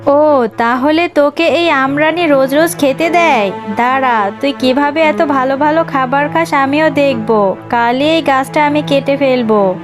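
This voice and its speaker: Lady doing mean fox stepmom from a kids cartoon, high voice, harsh n scolding, music behind. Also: unhurried. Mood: threatening. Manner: harsh, taunting. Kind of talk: children's cartoon dialogue.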